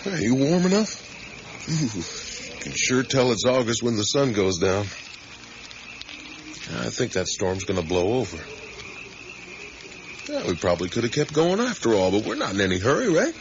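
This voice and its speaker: An actor playing an easygoing, talkative middle-aged father in a naturalistic radio drama, with a folksy, rambling, gently teasing manner. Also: medium pitch, big pitch swings, moderate pace, long pauses. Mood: relaxed. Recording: muffled, hissy 1980s radio broadcast.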